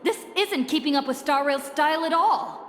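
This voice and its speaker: A woman's voice: sits high, normal speed, a little hiss or hum, lightly acted. An actress playing an earnest, exasperated young woman in a game, indignant and insistent. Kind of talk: game voice acting. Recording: echoey hall, faint music behind.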